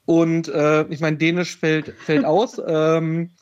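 A man's voice: on the high side, monotone, slow pace, a little mellow, smooth. A man telling a personal story as an interview guest, hesitant, with many fillers. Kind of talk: casual storytelling. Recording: dull lo-fi sound.

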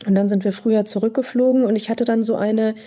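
A woman psychotherapist from Iran, answering interview questions in a measured, thoughtful way with pauses and fillers. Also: a little high, steady tone, mellow.

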